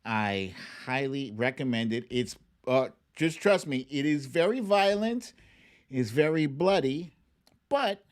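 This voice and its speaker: A man with an American accent chatting informally and expressively as the host of a live online talk show. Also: a little high. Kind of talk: casual livestream chat and commentary.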